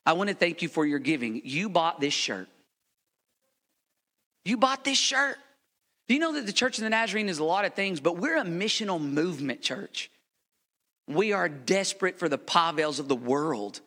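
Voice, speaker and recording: A man from the United States, a missionary pastor preaching a passionate sermon to a congregation, swinging between loud appeals and hushed, quiet lines, then praying aloud. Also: sits high, very animated, normal speed. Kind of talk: sermon with a prayer. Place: church sanctuary. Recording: reverberant hall.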